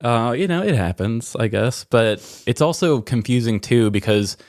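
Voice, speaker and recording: A man's voice: medium pitch, lively intonation, normal speed, a little mellow, no echo, clean studio sound. A man talking informally and introspectively, as a podcast host in a candid personal conversation. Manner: introspective, informal, occasional swearing.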